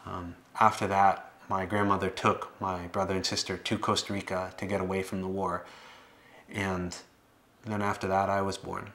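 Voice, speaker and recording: A young American man in his late twenties speaks in a low voice with very little melodic movement. He tells his own story to camera in a plain, measured manner, pausing and feeling for phrases as he goes. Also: little pitch movement, fairly bright tone. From Boston area, United States. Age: late 20s. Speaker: documentary subject telling his life story. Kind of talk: personal testimony to camera. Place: living room, indoors.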